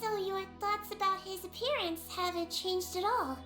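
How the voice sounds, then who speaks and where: A woman voicing a small, childlike head nurse in a video game, gentle, polite and earnest in a measured way. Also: extremely high pitch, roomy sound, soft background music. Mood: composed.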